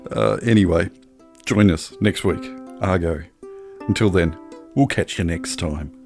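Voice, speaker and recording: A man's voice: low voice, unhurried, a little mellow, faint music behind. A man co-hosting a casual film podcast in a jokey way, often on the edge of laughter. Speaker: podcast co-host.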